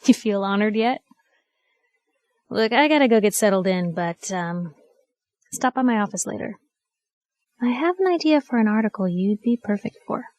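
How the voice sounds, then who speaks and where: An actress playing a feisty, sarcastic young woman reporter in a video game, with a brash, conversational and expressive delivery. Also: medium pitch, normal speed, pauses often, no echo, muffled recording, natural voice. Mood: brisk, encouraging. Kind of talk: video game companion voice lines.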